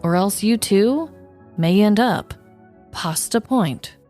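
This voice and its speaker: A woman's voice: medium pitch, lively intonation, slow, warm tone, faint music behind. A woman podcast host reading a scripted, comedic story narration.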